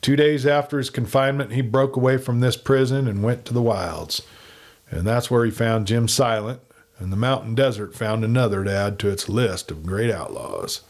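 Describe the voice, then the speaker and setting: A man's voice: medium pitch, normal speed. Man narrating a dramatic western story. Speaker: audiobook narrator.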